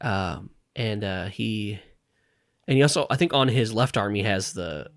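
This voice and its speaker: A man running a tabletop game as game master, describing scenes in a relaxed way with lots of fillers and chuckles. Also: on the low side, unhurried, no echo, clean studio sound.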